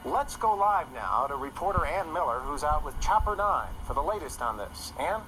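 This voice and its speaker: A man's voice: a little high, very animated, bright, forceful tone, a little hiss or hum, rough recording. A man as a composed TV news anchor. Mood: concerned. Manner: measured broadcast delivery.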